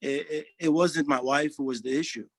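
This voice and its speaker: A man's voice: on the high side, fairly even intonation. A man, speaking earnestly in a testimonial interview and often restarting his sentences. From Haiti. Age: 40s. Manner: earnest, halting, frequent restarts. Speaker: interviewee, social worker.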